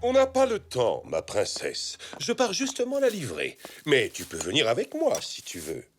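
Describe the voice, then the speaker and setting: A man voicing a gentle, indulgent zebra father in a French children's cartoon, with music behind. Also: fairly high pitch, huge pitch swings, normal speed.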